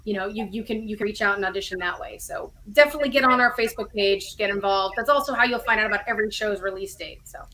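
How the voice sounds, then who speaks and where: A woman's voice: medium pitch, fast talker, few pauses, sharp tone, poor audio. A chatty woman in casual interview conversation as a guest writer and producer, often correcting herself as she explains. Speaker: audio drama writer and producer, podcast guest. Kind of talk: animated casual interview chat.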